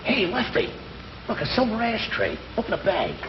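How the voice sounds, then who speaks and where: Eager high-voiced burglar. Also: male voice, huge pitch swings, moderate pace, reverberant. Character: eager small-time male burglar casing a house. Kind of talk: 1950s radio comedy acting. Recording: muffled distant 1950s broadcast, noisy audience.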